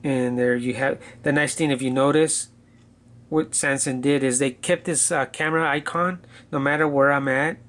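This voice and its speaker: Casual male gadget reviewer, even pitch. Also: moderate pace. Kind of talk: casual unscripted product demo.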